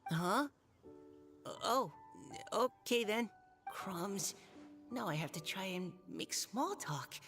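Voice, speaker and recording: A woman's voice: medium pitch, lively intonation, takes it slowly, a bit bright. A woman voicing a timid, modest, socially awkward young boy scout in a video game, speaking hesitantly with stammers. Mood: nervous. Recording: game music behind.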